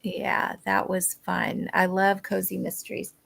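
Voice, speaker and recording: A woman with heavy vocal fry chats casually as a livestream host. She takes her time, speaking slowly and loosely. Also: mid-range voice, completely flat delivery, fairly bright tone. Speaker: livestream host of crafting sprints. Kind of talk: casual chat.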